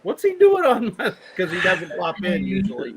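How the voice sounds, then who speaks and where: A chatty speaker talks in a reassuring way to a group video chat. Clear crowd chatter carries along behind the voice. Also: mid-range voice, big pitch swings, moderate pace.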